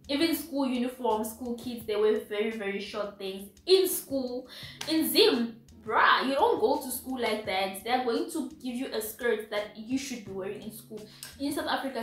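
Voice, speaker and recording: A young woman from Zimbabwe chats to her viewers with an animated, slangy flow and a slightly high voice. She sits back from the mic in an echoey room. Faint music plays under her talk. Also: distant mic. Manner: chatty, animated, slangy asides. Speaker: vlogger. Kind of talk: casual vlog chat to camera.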